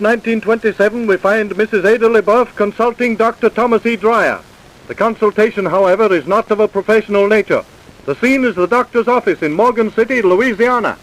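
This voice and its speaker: An actor narrating a crime story in the scripted, solemn, dramatic style of old-time radio. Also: male voice, high-pitched, natural voice, low-quality recording.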